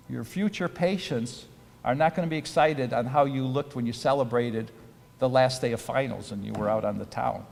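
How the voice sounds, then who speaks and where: Man, fairly high voice, earnest advice speech, reverberant auditorium. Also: creaky. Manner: earnest, advice-giving with rhetorical questions. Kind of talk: ceremony speech. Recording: reverberant hall.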